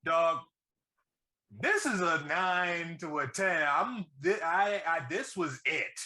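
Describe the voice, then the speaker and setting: A man co-hosting a casual review podcast, talking in an excitable, slangy way and swearing freely. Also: fairly high pitch, slow pace. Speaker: podcast co-host reviewing a film. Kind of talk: casual banter.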